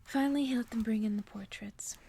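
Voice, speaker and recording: A woman speaking in a soft, hushed whisper in the style of an ASMR bedtime reading. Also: on the high side, fairly quick, vocal fry, slightly rough. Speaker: ASMR creator reading stories to listeners.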